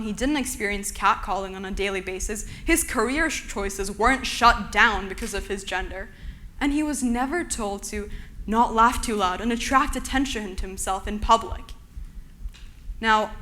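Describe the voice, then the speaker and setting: A young woman from Turkey giving an earnest, measured prepared talk to an audience. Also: on the high side, fairly bright tone. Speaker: speaker.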